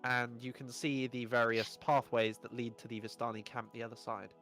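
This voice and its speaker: A man narrating as game master, relaxed and often laughing. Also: medium pitch, moderate pace, faint music behind. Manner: relaxed, laughing, lots of filler uh and um.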